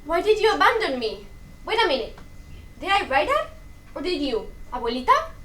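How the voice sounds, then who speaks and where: A woman's voice: very high voice, sing-song, normal speed, a bit bright, distant mic, exaggerated voice. A young woman in a staged play reading, playing a fearful, questioning girl who talks with her grandmother. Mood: troubled, questioning. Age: young adult. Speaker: student actor in a dramatic reading.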